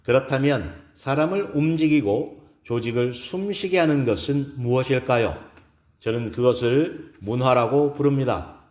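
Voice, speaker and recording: A poised woman executive acted by a man delivering a formal, deliberate keynote in an echoing audio-drama hall. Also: medium pitch, darkish tone, voice pushed up, close to an everyday voice. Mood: earnest, inspiring. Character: poised, earnest woman executive giving an inspirational lecture. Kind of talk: scripted audio drama speech.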